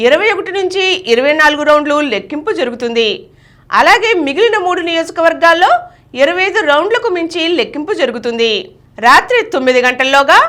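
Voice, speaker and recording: Female news reader, high voice with animated pitch movement, reading scripted bulletin copy.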